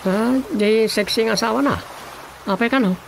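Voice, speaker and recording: An actor playing a grumbling, reluctant village office worker in a comic radio drama, colloquial, with an Ilocano accent. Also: male voice, high-pitched, moderate pace, gravelly, a little hiss or hum, rough recording. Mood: casual, curious.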